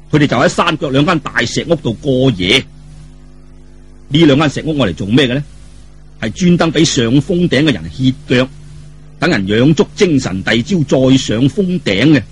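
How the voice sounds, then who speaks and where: A man's voice: medium pitch, slight background noise. A man with a Cantonese accent narrating a martial arts tale in a colloquial, dramatic traditional storytelling style, with asides to the listener. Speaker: audiobook storyteller.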